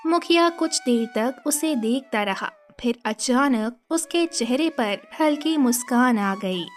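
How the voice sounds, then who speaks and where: Female narrator for scripted children's tales, very high voice, unhurried pace. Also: lively intonation, faint music behind.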